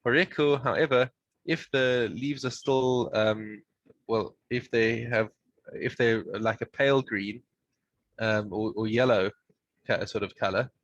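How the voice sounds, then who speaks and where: Halting man teaching over a dry call. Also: mid-range voice, no echo, lo-fi sound. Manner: halting, many restarts while explaining. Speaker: Mishnah teacher. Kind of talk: casual study session over video call. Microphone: video call.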